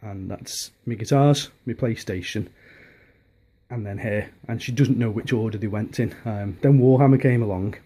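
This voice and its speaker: A man with a softened Liverpool accent talks through his hobbies on a handheld camera in his dining room. His voice is low, husky and mellow, and he rambles, circling back with plenty of ums and restarts. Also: moderate pace. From Liverpool, England. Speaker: hobbyist vlogger. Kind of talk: casual home video chat.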